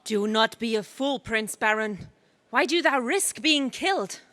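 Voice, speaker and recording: An actress playing a solemn, loyal companion with formal archaic diction in a space serial radio play. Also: female voice, fairly high pitch, lively intonation, measured pace, sharp tone, no room sound. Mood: grave concern. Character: solemn, archaic-speaking loyal friend of a prince.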